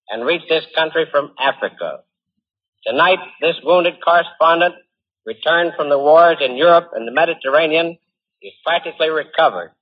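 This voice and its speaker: A man reading a formal, measured introduction as a 1940s radio announcer. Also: fairly high pitch, slightly rough. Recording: distant, muffled 1940s radio recording.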